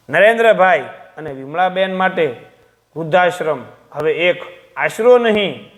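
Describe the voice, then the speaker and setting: Higher-pitched man narrating a story. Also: fairly bright tone. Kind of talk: scripted narration of a sentimental moral story.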